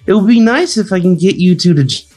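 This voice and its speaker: Man putting on a high-pitched nervous teenage girl. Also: expressive, a little mellow, soft background music. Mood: unsure. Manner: hesitant, mumbling, halting.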